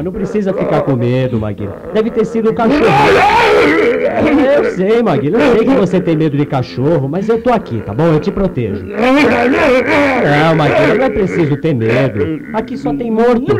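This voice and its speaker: An actor playing a bossy, jittery grave-robbing lab assistant, exasperated and coaxing, in a comic radio play. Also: male voice, sits high, very noisy.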